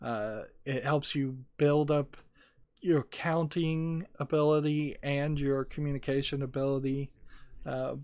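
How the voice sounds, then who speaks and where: A man's voice: medium pitch, fairly even intonation, measured pace, darkish tone, no room sound. A young man streaming a card game, rambling through opinions and reading chat messages aloud. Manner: rambling, opinionated, many restarts and filler. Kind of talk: casual commentary while gaming, reading chat.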